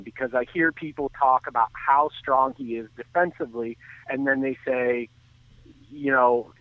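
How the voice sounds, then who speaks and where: A man giving earnest, detailed off-the-cuff baseball commentary as a radio guest, often halting and restarting his sentences. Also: medium pitch, no room sound. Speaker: baseball analyst and guest on a sports radio show. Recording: thin phone-like line.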